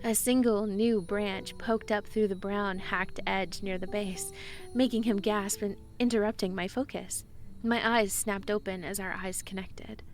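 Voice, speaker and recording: A woman's voice: mid-range voice, steady tone, a little slow, natural voice. A woman playing a shy, eager young druid in a romantic audio drama, with an earnest manner. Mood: eager, tender. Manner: earnest, stammering when flustered in dialogue. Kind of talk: romantic audio drama, first-person narration and dialogue. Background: music.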